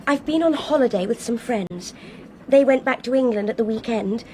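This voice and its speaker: A young Englishwoman in a radio play, played by an actress, with a high voice. She is highly strung and proud of her independence, and there is faint noise behind her. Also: moderate pace, warm tone, close to an everyday voice. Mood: subdued, candid. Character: distressed, aloof young Englishwoman travelling alone abroad. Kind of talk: radio drama acting.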